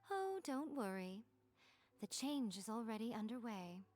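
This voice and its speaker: A woman's voice: fairly high pitch, a little mellow. A woman voicing a poised, confident and sly priestess who runs a publisher, speaking in a knowing, teasing way in a video game. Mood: assured.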